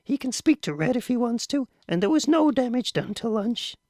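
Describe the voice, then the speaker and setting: A man's voice: sing-song, moderate pace, a little mellow, no echo, studio quality. A man reading aloud voices a worried, protective mother in a raised, anxious voice. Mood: coaxing. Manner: high, anxious and scolding.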